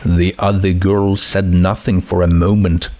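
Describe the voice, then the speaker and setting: Male narrator for fiction, lowish pitch, very unhurried pacing. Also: little pitch movement, darkish tone. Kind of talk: scripted story narration.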